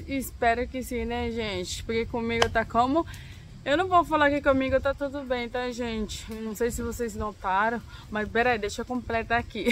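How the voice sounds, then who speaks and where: Hoarse young woman vlogging with a cold. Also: fairly high pitch, a little hiss or hum. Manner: soft, unhurried, pauses often with tag questions. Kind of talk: casual talk to camera. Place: backyard outdoors in sunlight. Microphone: phone or camera close up.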